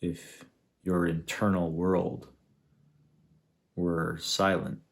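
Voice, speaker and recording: A man leading a guided meditation, speaking in an unhurried, reflective way with long pauses between phrases. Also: deep voice, little pitch movement, gravelly. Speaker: meditation guide, spiritual teacher. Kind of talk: guided meditation, contemplative teaching.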